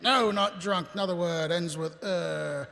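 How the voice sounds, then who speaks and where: An actor playing a maudlin, drunken city watch captain in live radio theatre, rambling and groping for words. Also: male voice, sits high, unhurried, vocal fry, bright, forceful tone, exaggerated voice. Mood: drunken, muddled. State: acted drunkenness. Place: live convention stage. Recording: reverberant hall stage.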